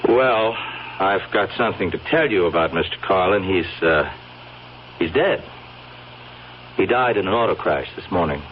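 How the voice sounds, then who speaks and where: Muffled old radio recording, American police sergeant, low swooping voice, folksy, sly, hesitant questioning. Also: male voice, expressive, moderate pace, long pauses, slight background noise. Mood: grave, hesitant. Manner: hesitant, seemingly casual questioning. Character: folksy, slyly probing male police sergeant. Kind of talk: old-time radio drama acting.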